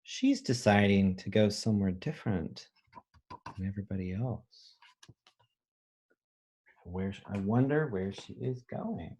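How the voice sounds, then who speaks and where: A man reading a children's picture book aloud as a teacher, pausing to think aloud and explain things simply. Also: lowish voice, animated, a little mellow, breathy edge. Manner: pauses to pose questions and think aloud.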